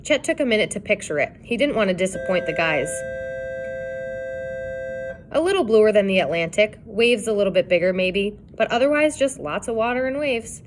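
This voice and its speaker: A woman reading a children's chapter book aloud in an expressive storytelling style. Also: mid-range voice, moderate pace, faint music behind. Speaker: reader.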